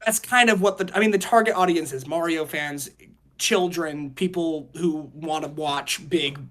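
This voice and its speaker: High-voiced man chatting on a podcast. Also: moderate pace, fairly bright tone. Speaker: podcast co-host. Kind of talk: casual podcast discussion.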